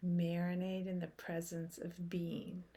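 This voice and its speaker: A woman leading a guided meditation in a soothing, unhurried way, with long pauses between short phrases. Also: fairly low pitch, monotone, warm tone, husky.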